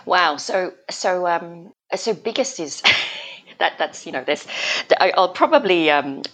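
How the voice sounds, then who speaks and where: A woman's voice: on the low side, animated, slow pace. A woman from Malaysia who grew up in Australia, a guest gardener chatting in a podcast interview, hesitant with many restarts and laughs.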